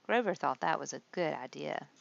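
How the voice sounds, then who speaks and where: A woman's voice: sits low, lively intonation, moderate pace, vocal fry. A woman narrating a scripted children's storybook read-aloud. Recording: slightly dull, muffled audio.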